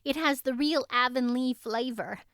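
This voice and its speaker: A woman's voice: high voice, moderate pace, a bit bright, clean tone, no echo, clean studio sound, pitched above the performer's own voice. A woman voicing a good-natured, weary teenage schoolgirl with a sighing, playfully theatrical manner, in an audiobook story.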